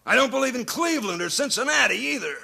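Gruff American cynic for comic cop parody: high, gravelly, sharp, stubborn and slangy. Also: male voice, lively intonation, fast, exaggerated voice. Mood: defiant. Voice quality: raspy, gravelly. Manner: slangy, double negatives, stubborn. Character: gruff grudging male cynic who believes in nothing. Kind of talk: comic police drama parody.

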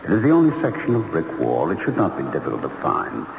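Creaky, very deep, mournful ghost. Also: male voice, huge pitch swings, heavily acted. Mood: gentle, solemn. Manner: formal, hesitant, measured, mournful. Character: courteous, sorrowful ghost of a long-murdered man. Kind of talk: old-time radio drama acting. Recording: dull, echoing, hissy old radio recording.

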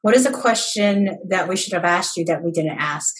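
A woman with a husky, slightly low voice hosts a podcast interview. She sounds conversational and encouraging, with an appreciative way of addressing her guest. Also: lively intonation, moderate pace, low-quality recording. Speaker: podcast co-host.

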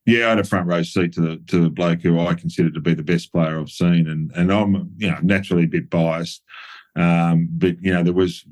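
A middle-aged Australian man with a very deep voice recalls his playing days. He talks in a loose, halting way, full of ums and restarts, now and then chuckling at his own stories. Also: fairly even intonation, darkish tone. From New South Wales, Australia. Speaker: former footballer, guest. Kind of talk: relaxed reminiscing interview.

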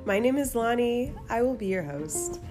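A woman's voice: fairly high pitch, animated, moderate pace, music in the background. A woman hosting a podcast in a bubbly, casual way, often laughing. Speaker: podcast host.

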